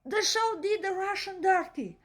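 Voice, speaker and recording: High-voiced Eastern European woman ranting. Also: normal speed. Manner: emphatic, animated, rhetorical questions. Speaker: vlogger. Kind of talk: opinionated commentary monologue.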